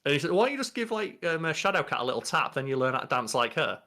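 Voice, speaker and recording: A man's voice: a little high, big pitch swings, rapid-fire, bright, forceful tone. A young adult man chatting casually as a podcast co-host, reading out entries and commenting in an informal, sweary way. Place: small bedroom.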